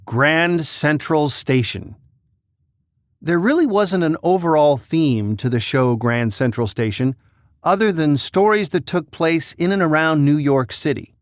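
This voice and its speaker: A man's voice: medium pitch, no echo, clean studio sound. A man hosting a countdown with scripted narration. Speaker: countdown host.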